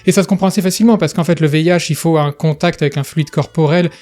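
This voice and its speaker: A man's voice: on the high side, fairly even intonation, fast, a little mellow, soft background music. A man hosting an educational video, explaining history in a casual, chatty way with frequent asides.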